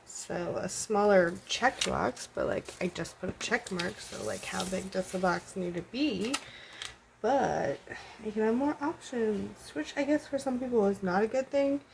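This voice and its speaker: A woman's voice: mid-range voice, a little slow, gravelly, background noise. Stuffy-nosed woman chatting casually. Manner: rambling, lots of ums and likes. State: stuffed up with a cold. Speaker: planner vlogger. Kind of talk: casual plan-with-me chat. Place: home.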